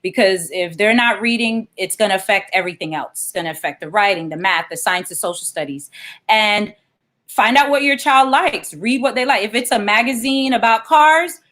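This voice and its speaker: A woman's voice: medium pitch, lively intonation, normal speed, a bit bright. A woman, a children's author, reading aloud and explaining things as an interview guest.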